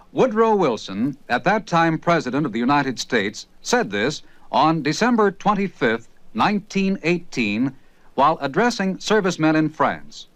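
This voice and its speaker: A man's voice: fairly high pitch, fairly bright tone, no room sound, rough recording. A man reading a formal public service announcement in a solemn, declaiming way.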